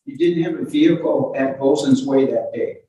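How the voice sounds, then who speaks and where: A man's voice: mid-range voice, warm tone, slight background noise. Man cross-examining in an echoey courtroom. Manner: halting, repeats opening words, insistent. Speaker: lawyer questioning a witness. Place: courtroom hearing. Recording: echoey courtroom, distant microphone.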